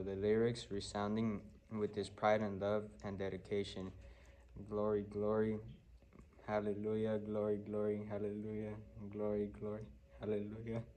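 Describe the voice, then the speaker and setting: A man's synthetic voice reading a children's novel as scripted narration, flatly and with odd stumbles. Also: lowish voice, moderate pace, a little hiss or hum, low-quality recording. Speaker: audiobook reader.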